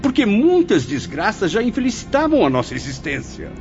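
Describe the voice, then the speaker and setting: A man's voice: high-pitched, wildly swooping pitch, brisk. A man playing an anguished, questioning lost spirit in a radio drama, pleading theatrically in formal language. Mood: anguished, protesting. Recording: dull lo-fi audio under music.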